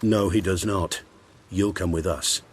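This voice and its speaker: An actor playing a stern, commanding royal agent in a video game, formal and authoritative. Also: male voice, deep voice, steady tone, unhurried, breathy edge.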